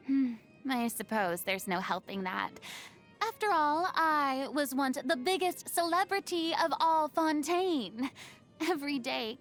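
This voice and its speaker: A woman voicing a proud, vain yet vulnerable young former stage celebrity in a video game, with a theatrical manner and flustered hesitations. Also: high-pitched. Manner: theatrical, boastful, prone to sighs and flustered hesitation. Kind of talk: video game character monologue.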